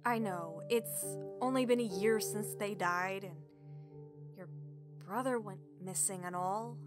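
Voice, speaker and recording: A woman's voice: medium pitch, measured pace, music in the background, lightly acted. A woman playing a caring, teasing young village archer and loyal friend in an audio drama. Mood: concerned, gentle.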